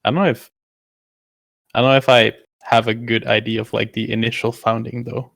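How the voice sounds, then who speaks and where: Man, tech lead at a Q&A, gravelly, close dry sound, hesitant. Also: mid-range voice, level tone, normal speed, a bit bright, no echo. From Netherlands. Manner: hesitant, with many uhs. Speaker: tech lead answering questions. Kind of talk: casual Q&A.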